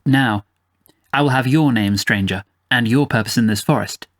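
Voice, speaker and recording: A man's voice: moderate pace, dry recording, clean studio sound, natural voice. A man voicing a proud, guarded young prince who speaks formally, in a fantasy storybook reading. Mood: proud, wary. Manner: formal, head-high declarations.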